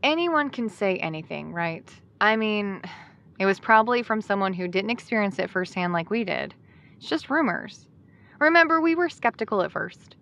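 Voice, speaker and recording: A woman's voice: mid-range voice, sing-song, moderate pace, vocal fry, fairly bright tone, muffled recording. A woman playing a trusting, firmly decided best friend in an audio drama, talking in a casual, assured way that brushes off doubt. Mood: reassuring, dismissive. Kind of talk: audio drama acting, conversational.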